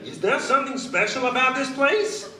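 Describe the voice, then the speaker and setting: A man's voice: very high voice, very animated, normal speed, pushed and bright, exaggerated voice. An actor playing a dim, whiny, hapless henchman in a live comedic radio play. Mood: hesitant, dutiful. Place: live stage at a convention. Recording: echoey convention room, distant camera, faint noise.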